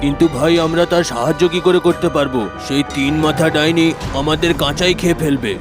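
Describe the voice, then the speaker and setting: Man acting timid cartoon villager, slightly high male voice, scripted drama, music behind. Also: steady tone, fast, natural voice. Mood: fearful. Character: fearful ordinary male villager.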